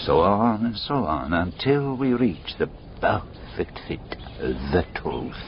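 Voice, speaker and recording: Noisy dull radio recording, foreign detective, low animated voice, shrewd, deliberate, courteous. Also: male voice, heavily acted. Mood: pondering, explaining. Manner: deliberate, musing, exclamatory, rhetorical questions. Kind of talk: radio drama acting.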